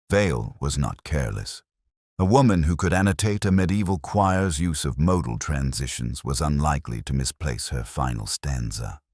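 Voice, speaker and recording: A man voicing a brooding, introspective police detective who recounts a case in measured, grave, literary first-person narration for a mystery audio drama. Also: a bass voice, slightly rough, no echo, clean studio sound, full character voice.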